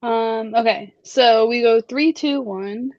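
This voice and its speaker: A young woman co-hosting a casual chat, chatty and giggly, her voice a little hoarse. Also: a little high, a little mellow, dry recording, highs cut off. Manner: giggly, chatty, drawn-out fillers. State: slightly hoarse. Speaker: podcast co-host.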